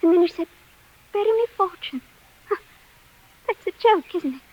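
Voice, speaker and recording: A woman's voice: extremely high pitch, expressive, moderate pace. An actress playing a forlorn but proud young English servant girl in a 1940s radio drama, plaintive and emotional. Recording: dull 1940s radio recording.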